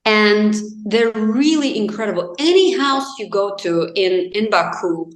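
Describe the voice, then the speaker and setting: A woman's voice: medium pitch, animated, unhurried. A woman, speaking English as a later language as an interview guest. She thinks aloud in a reflective way, using plenty of "you know" and "like" as she goes. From Azerbaijan. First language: Azerbaijani. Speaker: guest author. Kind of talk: casual interview chat. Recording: roomy echo.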